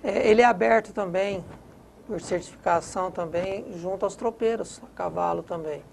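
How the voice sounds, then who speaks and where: Brazilian guy in his 50s explaining stuff as a guest, gravelly fry, slight noise behind. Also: sits high, moderate pace. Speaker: guest cyclist. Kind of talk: informal explaining. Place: TV studio. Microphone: lapel.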